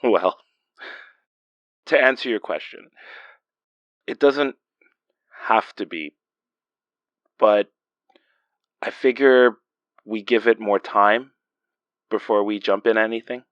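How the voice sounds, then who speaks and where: Lowish-voiced, hesitant young man. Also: slow pace, long pauses, no echo, dull audio. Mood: guarded, tender. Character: guarded, joking, soft-hearted man in a budding romance. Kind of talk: naturalistic audio drama acting.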